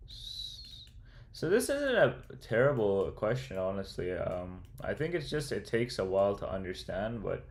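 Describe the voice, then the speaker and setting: A young man with a low voice and a gravelly, creaky edge, talking in an easygoing, slangy way. He thinks aloud through a coding problem and reads out viewer comments as a casual livestreamer. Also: very animated, measured pace. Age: young adult. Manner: laid-back, slangy, calls viewers bro, thinks aloud. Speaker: streamer and computer science student.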